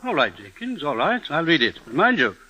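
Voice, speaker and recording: A man's voice: a little high, wildly swooping pitch, a little fast, pushed and bright, exaggerated voice. An actor playing a skeptical, authoritative male publisher in a 1950s radio drama. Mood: skeptical, curt. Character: gruff, skeptical male London publisher, the boss. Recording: noisy old radio recording.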